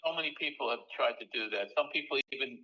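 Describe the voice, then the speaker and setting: Man, 60s, seller on a phone call, rambling and guarded, quick and bright-voiced, dull phone-line sound. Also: medium pitch. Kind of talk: casual phone conversation.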